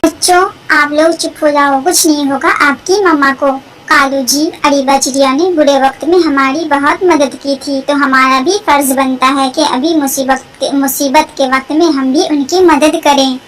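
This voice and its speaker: A woman's voice: high-pitched, fairly even intonation, moderate pace, close to an everyday voice, poor audio. A woman voicing a loyal, helpful female crow with an earnest, encouraging manner in a cartoon. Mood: determined.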